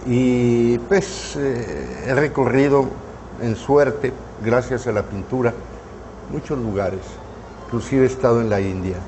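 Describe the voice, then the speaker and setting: A man in his seventies from Mexico, reminiscing in an interview in his studio, unhurried and full of hesitant fillers. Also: mid-range voice, animated, noisy background. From northern Mexico. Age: 70s. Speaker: painter interviewee. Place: artist's studio, indoors. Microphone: lapel mic.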